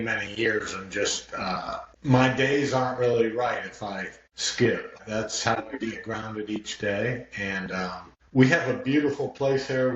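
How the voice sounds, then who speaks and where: A middle-aged man giving a casual interview as a guest author, speaking in a halting, reflective way and trailing off. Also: on the low side. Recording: very echoey, muffled, faintly noisy room audio.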